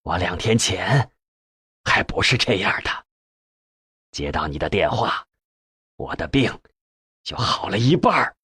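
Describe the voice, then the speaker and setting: A man voicing an ailing older male professor in an audiobook, breathy and slightly strained, eager to be useful. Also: sits low, lively intonation, unhurried, long pauses, pushed and bright, dry recording, studio quality, voice pushed down, heavily acted. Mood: pleased.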